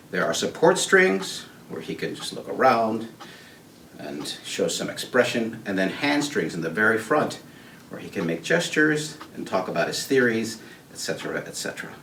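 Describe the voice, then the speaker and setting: A man gives an unscripted guided tour in a lively, swooping voice with a showman's emphasis. He repeats words for effect as he explains things. The sound is roomy and picked up from a distance. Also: mid-range voice. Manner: animated, theatrical showman phrasing with emphatic repeats. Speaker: puppeteer and tour host at a puppet theater. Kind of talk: informal show-and-tell guided tour, unscripted. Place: indoors, backstage and storage rooms of a puppet theater. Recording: echoey, distant mic with background noise.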